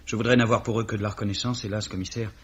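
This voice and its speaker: An actor playing an earnest, fussy young English gentleman, plaintive and polite, in 1950s French radio drama style. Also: on the low side, steady tone, very fast. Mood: pained, earnest. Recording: dull, slightly rough old radio recording.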